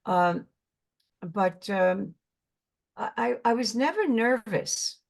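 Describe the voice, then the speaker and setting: Older New York woman, mid-pitched, earnest, halting guest talk. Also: expressive, creaky. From Brooklyn, New York. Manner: earnest, halting, repeats words while searching. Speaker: actress guest. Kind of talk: casual interview chat.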